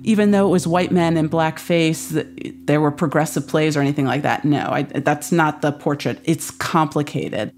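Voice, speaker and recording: A woman's voice: deep voice, normal speed, few pauses, a little mellow. A woman with an American accent explaining things as an academic guest in an interview, emphatic and articulate.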